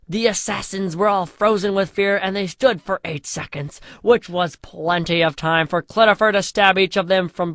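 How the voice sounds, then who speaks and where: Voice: mid-range voice, a bit bright, no room sound, studio quality. A storyteller reading a scripted comic fantasy parody in a mock-epic way, drawing out the chapter headings.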